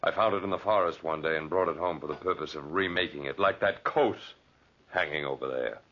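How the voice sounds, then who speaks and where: Menacing giant hermit, extremely low voice, rasping, theatrical, ominous, old radio drama, muffled lo-fi recording. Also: male voice. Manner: deliberate, ominous, theatrical delivery. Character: huge, ugly, bitter, menacing hermit giant. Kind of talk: 1940s radio drama acting.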